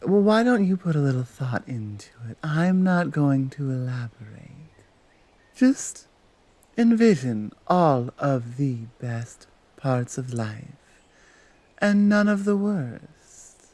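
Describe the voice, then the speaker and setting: A woman acting a dominant, dutiful valkyrie in an ASMR roleplay, speaking in a halting, matter-of-fact, gently commanding way. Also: extremely low pitch, very animated, no room sound, full character voice. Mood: coaxing, teasing. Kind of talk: ASMR roleplay acting, one-sided dialogue.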